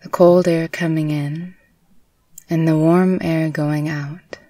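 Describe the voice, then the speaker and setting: A woman narrating a guided meditation in a soothing, unhurried, gently instructive way. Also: deep voice, little pitch movement, no room sound. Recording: distant mic with faint background noise.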